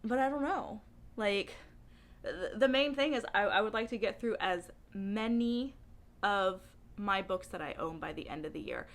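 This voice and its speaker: A woman talking in a casual, chatty book vlog style, with a giggly, animated and informal, rambling manner. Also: mid-range voice, moderate pace. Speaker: book reviewer vlogger.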